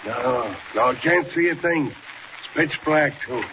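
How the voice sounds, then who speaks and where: An actor playing a rough, excitable henchman with slangy tough-guy talk in a 1940s radio serial. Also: medium pitch, slow pace. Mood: impressed, conspiring. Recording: muffled, very noisy old radio recording.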